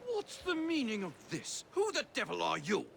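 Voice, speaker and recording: Pompous old statesman, swooping high. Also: huge pitch swings, moderate pace, gravelly, noisy background, heavily acted. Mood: indignant. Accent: upper-class English. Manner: pompous, outraged, theatrical. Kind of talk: video game cutscene acting. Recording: music behind the voice.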